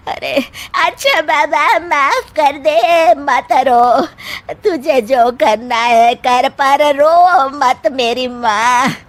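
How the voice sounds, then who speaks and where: A woman's voice: high voice, extremely slow. A woman voicing an older, exasperated mother-in-law who fusses and scolds in a cartoon story. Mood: pleading. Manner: scolding, fussing. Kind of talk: Hindi cartoon story acting.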